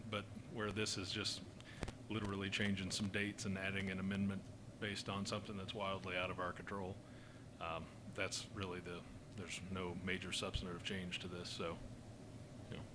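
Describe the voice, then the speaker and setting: Man, fire service official, low voice, flat monotone, steady explanations, noisy council chamber. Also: moderate pace. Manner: steady, explanatory, frequent fillers and restarts. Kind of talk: answering questions at a council meeting. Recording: noisy meeting room recording.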